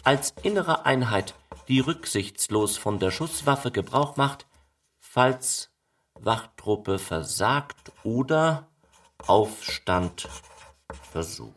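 A man giving a scripted literary documentary narration with deliberate, measured phrasing. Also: medium pitch, expressive, a bit bright, no echo, studio quality. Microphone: close studio microphone, dry.

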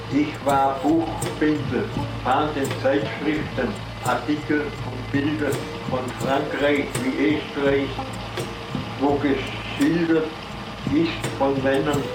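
An elderly man with a Swabian accent tells of his own work at a very slow pace. It is an archive recording, roomy and far from the microphone, with music behind him. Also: medium pitch. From southern Germany. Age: 70s. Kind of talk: archival first-person account.

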